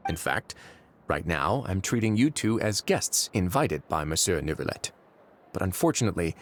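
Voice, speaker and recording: Brief: smooth sardonic warden, low voice with lively lilt, faint music. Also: male voice, a little hiss or hum. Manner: smooth, courteous, wryly sarcastic. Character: suave, dry-witted male prison warden. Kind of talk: game voice acting.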